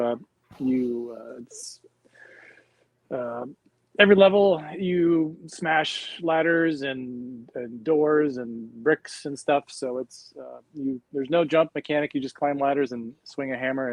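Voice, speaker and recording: A man's voice: mid-range voice, dry recording, low-quality recording. A man co-hosting a casual live chat, rambling through explanations with many fillers. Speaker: regular co-host.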